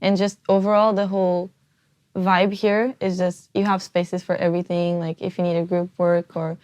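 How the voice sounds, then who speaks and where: Young woman from Portugal, student guest, lowish flat voice, dry sound. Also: normal speed, no room sound. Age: young adult. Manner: relaxed, chatty, uses many fillers like "like". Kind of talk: casual chat.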